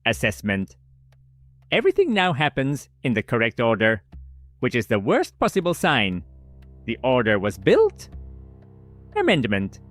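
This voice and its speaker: A man acts out a paranoid, dryly formal male note-keeper in audio fiction, clipped and ominous. His pitch swings very widely, and the sound is close and dry. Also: wildly swooping pitch, a little slow, pauses often, dry recording, soft background music. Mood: formal, uneasy. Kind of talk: audio fiction, dictated file notes.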